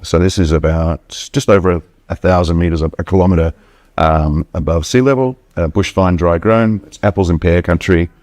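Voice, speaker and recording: A man's voice: deep voice, moderate pace, darkish tone, dry recording. A middle-aged Australian man with a slight mixed accent, chatting casually as a guest, rambling with many ums and restarts. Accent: Australian, with slight Afrikaans and southern British touches. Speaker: wine importer guest. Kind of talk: casual interview chat. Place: hotel room.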